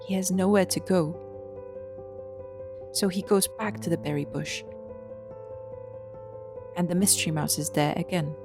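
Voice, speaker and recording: A woman's voice: fairly low pitch, measured pace, pauses often, music in the background. A woman narrator reading scripted storybook narration for an audio drama.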